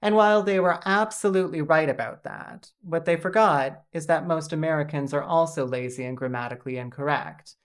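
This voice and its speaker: A woman hosting a video essay with scripted comedic narration, deadpan and sarcastic. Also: low voice, a little fast, few pauses.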